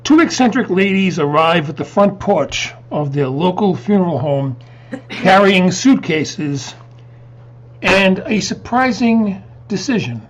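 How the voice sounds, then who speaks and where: Unhurried announcer, mid-pitched, scripted introduction, dull recording with faint noise. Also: animated. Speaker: show announcer.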